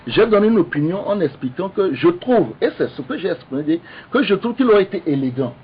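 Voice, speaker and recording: A man, an opposition politician debating on the radio, halting with many restarts but insistent. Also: high-pitched, sing-song, breathy edge, muffled recording. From Togo.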